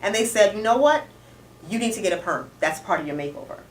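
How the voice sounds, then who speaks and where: A woman's voice: mid-range voice, fast talker. A woman co-hosting a casual talk show, recapping a news story and arguing with her co-host. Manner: lively, argumentative, corrects her co-host. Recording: echoey room, distant mic, faint noise.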